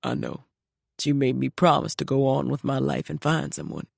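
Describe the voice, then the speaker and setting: A woman voicing a gentle older widowed father speaking softly and tenderly to his daughter. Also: sing-song, normal speed, creaky, dry recording, studio quality, full character voice. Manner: soft, low, tender.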